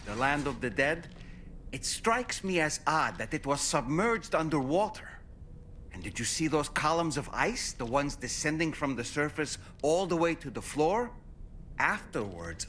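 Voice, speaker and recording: A thoughtful, scholarly man from a video game. His delivery is measured and precise, with widely varied intonation. A faint rumble sits behind the voice. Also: a bit bright. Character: thoughtful, scholarly male companion explaining strange phenomena. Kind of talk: video game cutscene acting.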